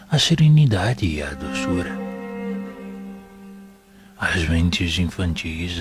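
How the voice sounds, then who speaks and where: A man's voice: sits low, a bit bright. A man playing a wise, serene spirit minister in an audio drama, teaching in a formal and solemn manner. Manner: solemn, measured, formal, instructive. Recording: music playing behind the voice.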